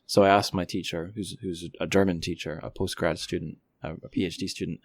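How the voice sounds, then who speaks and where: A man with a low-pitched voice hosts a casual interview. He talks thoughtfully, feeling his way hesitantly toward each point. The sound is dry, with very little room in it. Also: level tone, a little fast, dry recording. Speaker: podcast host interviewer.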